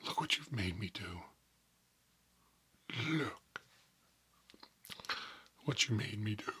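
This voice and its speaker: A man's voice: on the low side, expressive, slow pace, slightly rough, full character voice. A man playing an unhinged, candy-obsessed school principal in a comedic ASMR roleplay, muttering melodramatically to himself and often whispering. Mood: tempted, covetous. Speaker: ASMR performer. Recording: loud crinkling and clicking noise.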